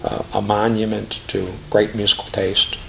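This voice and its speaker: A middle-aged man speaking earnestly and emphatically in a reflective interview testimonial, phrasing deliberately. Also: on the low side, flat delivery, creaky, bright, forceful tone, a little hiss or hum, rough recording. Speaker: classical music professional giving a testimonial.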